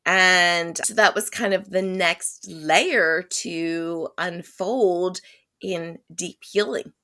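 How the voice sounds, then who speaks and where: A woman's voice: lowish voice, fairly even intonation, drawn-out words, sharp tone. A woman speaking as a holistic healer guest in an interview, emphatic and repeating her key phrases. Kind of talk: conversational interview.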